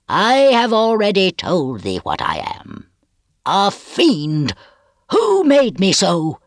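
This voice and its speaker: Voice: medium pitch, sing-song, slow, no echo, studio quality, full character voice. A reader voicing a bitter, gloomy, vengeful old male physician with grave, archaic, rhetorical speech in a storybook reading.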